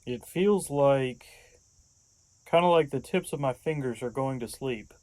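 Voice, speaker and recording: A man's voice: mid-range voice, measured pace, a little mellow, dry recording, natural voice. A man playing a sarcastic, distrustful, impatient younger man in an audio drama, blunt and sardonic. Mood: puzzled. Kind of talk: audio fiction podcast acting.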